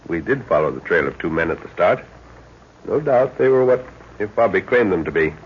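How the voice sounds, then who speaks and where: An actor playing a calm, authoritative middle-aged father leading a jungle expedition in a 1930s radio adventure, speaking in a measured, commanding way. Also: low-pitched. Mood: matter-of-fact. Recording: muffled, noisy 1930s radio recording.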